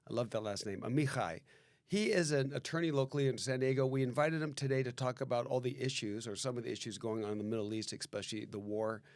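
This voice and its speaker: A man's voice: mid-range voice, quick pace, a little mellow, no room sound. Joking man hosting a podcast, dry sound. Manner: casual and joking, teasing his co-host. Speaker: podcast host. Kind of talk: casual podcast chat.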